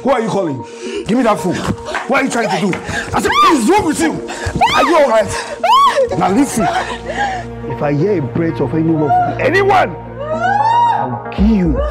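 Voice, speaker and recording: A man's voice: high-pitched, wildly swooping pitch, moderate pace, over loud music. A cruel, menacing stepfather. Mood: threatening. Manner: taunting, threatening.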